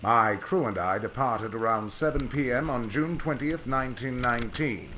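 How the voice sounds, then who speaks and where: A man's voice: mid-range voice, moderate pace, pushed and bright, a little hiss or hum, natural voice. A man playing a proud, curt aviator in old-time radio style, with formal, old-fashioned diction. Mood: grave. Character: proud male aviator home from three lost years, curt, formal. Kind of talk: 1940s radio acting.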